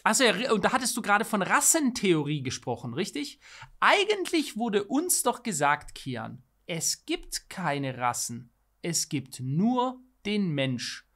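A man's voice: high voice, big pitch swings, unhurried, fairly bright tone. A man in his thirties co-hosting a podcast, giving opinions in an emphatic, rhetorical way. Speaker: podcast co-host. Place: small studio room, indoors. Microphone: studio microphone on boom arm.

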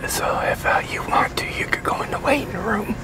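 A man in his sixties from the United States whispering a hesitant ASMR roleplay as a leasing agent. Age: 60s. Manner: hesitant, halting. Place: outdoor covered picnic pavilion with metal tables.

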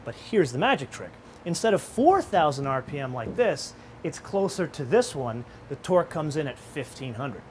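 A middle-aged man hosting a car show, explaining features in a lively, animated way outdoors and behind the wheel. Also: fairly high pitch, normal speed, hardly any pauses, a little hiss or hum. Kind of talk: car review presentation.

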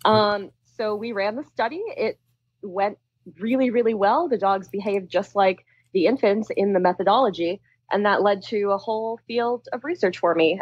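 Woman scientist in her thirties, animated and chatty, dry and a bit lo-fi. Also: mid-range voice, no echo. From Philadelphia, US. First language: Tamil. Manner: laughs often, chatty and animated. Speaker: guest scientist. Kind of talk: casual interview chat.

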